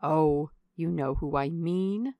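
A woman's voice: low voice, slow pace, dark tone, dry recording. A woman voicing a nosy, jolly older matron in a storybook reading, prying and exclaiming with swooping emphasis. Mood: teasing.

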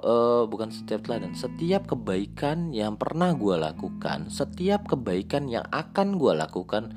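A man, telling a personal story in a reflective, hesitant way with many restarts. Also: mid-range voice. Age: late 20s. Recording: guitar music playing behind.

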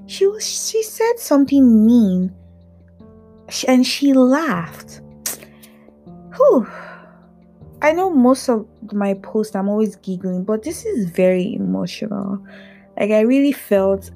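A woman from Africa who stutters, hosting a candid personal podcast, talking in a halting way with frequent fillers and restarts. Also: medium pitch, sing-song, gravelly, dark tone, faint music behind. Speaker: podcast host.

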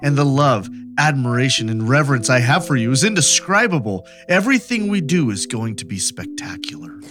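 A man's voice: medium pitch, big pitch swings, a little fast, natural voice. A man playing a devout, adoring mystic lover in a theatrical dramatic reading, grave and melodramatic. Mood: adoring, fervent. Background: music.

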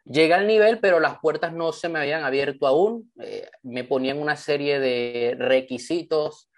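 A man's voice: fairly high pitch, normal speed. A young man from Venezuela giving an online training talk over a video call, earnest and enthusiastic as he tells his story. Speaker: motivational trainer.

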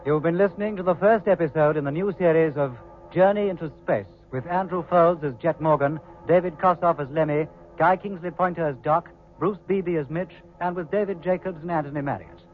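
A man's voice: on the high side, fairly quick, phone-quality sound. A man reading closing credits as a formal 1950s radio announcer. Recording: thin, band-limited 1950s radio recording. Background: orchestral music.

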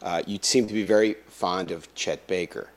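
A man hosts a conversational interview in a very low voice. He often stops and restarts his phrases, filling the gaps with ums and uhs. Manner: halting, many restarts and fillers. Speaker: interviewer host.

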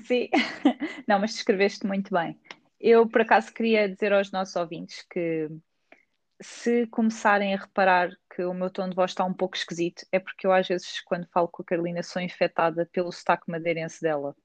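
A woman's voice: lowish voice, steady tone, brisk, dry recording. A woman with a Lisbon Portuguese accent hosting a podcast, chatting casually with a guest and laughing often. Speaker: podcast host. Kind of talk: casual conversational interview. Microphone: remote recording.

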